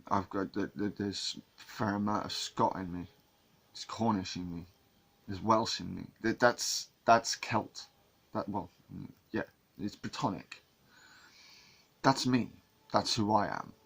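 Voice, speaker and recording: A man from England with a low, husky voice talks casually to camera. He rambles, restarting his sentences and repeating words as he goes. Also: a bit bright. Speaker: vlogger.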